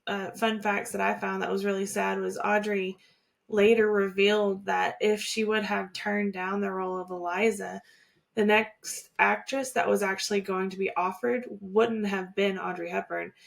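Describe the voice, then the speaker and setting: A woman's voice: mid-range voice, level tone, moderate pace, vocal fry. A woman co-hosting a podcast, talking casually and conversationally, often agreeing with her co-host. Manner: conversational, agreeable, hedging with fillers. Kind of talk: casual chat.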